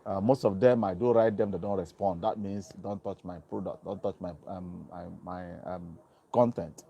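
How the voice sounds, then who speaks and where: A man's voice: deep voice, steady tone, moderate pace, vocal fry, slightly rough, lo-fi sound. A man hosting an online TV talk, speaking casually into a phone and earphone mic with lots of ums and restarts. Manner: rambling, many ums and restarts. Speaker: online TV host. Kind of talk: casual livestream commentary. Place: outdoors in Germany. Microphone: mobile phone with earphone mic.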